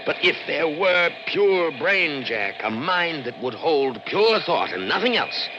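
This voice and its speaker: An actor in a 1960s radio drama playing a brooding, intense scientist with theatrical, deliberate emphasis. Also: male voice, a little high, very animated, music in the background. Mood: intense, musing. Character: obsessive brilliant male scientist, brooding and intense. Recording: lo-fi old radio broadcast recording.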